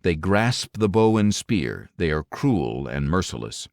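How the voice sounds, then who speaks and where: A man with a low, deep voice reads scripture as an audiobook narrator. His delivery is solemn and dramatic, with wide swings in pitch and measured, emphatic phrases that drop at their close. The recording is dry and close, without room sound. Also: very animated, a little mellow, no room sound.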